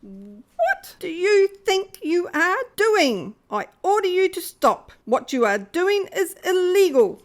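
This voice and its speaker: A woman's voice: extremely high pitch, very animated, slow pace, hardly any pauses, exaggerated voice. A woman playing a stern, officious space station controller in a radio play. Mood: indignant. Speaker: amateur radio play actor. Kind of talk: radio drama acting.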